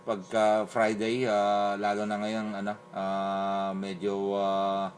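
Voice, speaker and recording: A man with a Filipino accent talking casually as an amateur radio operator, in a hesitant, halting way. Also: on the low side, monotone, a little hiss or hum. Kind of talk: casual two-way radio chat. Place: beside a radio set, recording it on a phone.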